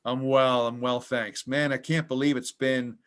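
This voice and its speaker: American male host, friendly but halting, lots of hesitations, video call interview. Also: mid-range voice, little pitch movement, fairly bright tone, clean tone. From United States. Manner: halting, full of ums and restarts.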